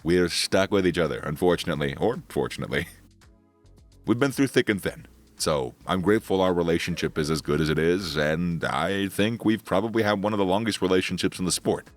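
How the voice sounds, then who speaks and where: Low-voiced man narrating sports news. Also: brisk. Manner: steady read-aloud delivery, reads quotes in the same voice. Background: faint background music.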